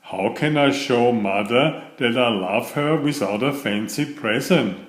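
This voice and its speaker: A man's voice: mid-range voice, unhurried, close to an everyday voice. A man voicing an earnest, questioning young boy turtle from a children's storybook, recorded with roomy echo. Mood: earnest, puzzled.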